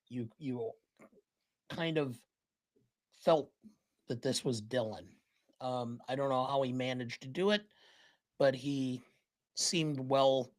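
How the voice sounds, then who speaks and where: A podcast co-host in casual chat, talking in a halting way with many ums and uhs. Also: medium pitch, a bit bright.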